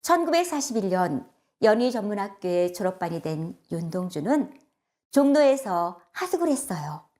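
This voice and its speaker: A woman storyteller narrating a history tale for children in an expressive, carefully enunciated way. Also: medium pitch.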